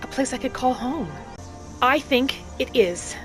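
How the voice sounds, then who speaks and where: A woman voicing a gentle, hopeful young wood elf adventurer, narrating her journey softly and without hurry over background music. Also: high voice, lively intonation. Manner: soft, unhurried, dreamy, earnest. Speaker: roleplay series host. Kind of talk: in-character scripted narration, storytelling. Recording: music behind the voice.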